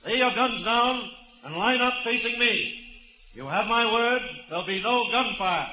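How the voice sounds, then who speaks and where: An actor playing a commanding prison warden, declaiming orders across a distance in a 1940s radio drama. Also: male voice, very high voice, a little slow, sharp tone, full character voice. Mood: urgent commanding. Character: commanding prison warden negotiating with armed convicts. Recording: echoing, muffled, noisy old radio recording.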